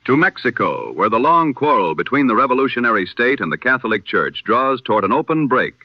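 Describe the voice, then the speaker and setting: Guy doing old timey 1920s radio news, serious and formal, pitch moves around a lot, very dry muffled audio. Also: very animated, a little fast, dry recording. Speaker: news presenter. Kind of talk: scripted news bulletin styled as 1920s radio.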